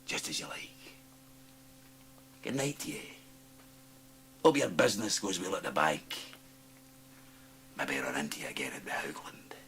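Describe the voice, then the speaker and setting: A man's voice: rumbling low, sing-song, a little slow, long pauses, a bit bright, full character voice. An actor playing a sly, menacing, gleeful Scottish man in a radio drama, taunting and insinuating, breaking into hissing whispers. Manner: taunting, insinuating, swinging from cajoling to snarling.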